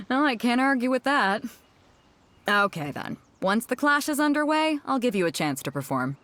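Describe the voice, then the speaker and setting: A woman's voice: on the high side, big pitch swings, unhurried, pauses often, a bit bright, a little hiss or hum. Bold, swinging-voiced female ship captain. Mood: teasing, amused. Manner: breezy, confident, teasing. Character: bold, hearty female ship captain, swaggering and good-humoured. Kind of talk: video game story dialogue.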